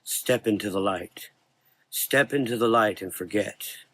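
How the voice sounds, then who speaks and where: Casting: male actor as slow, low-pitched, solemn supernatural reaper for gothic horror audio, velvety and coaxing. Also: fairly bright tone. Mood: soothing, coaxing. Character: the dark man, grave velvety supernatural soul reaper.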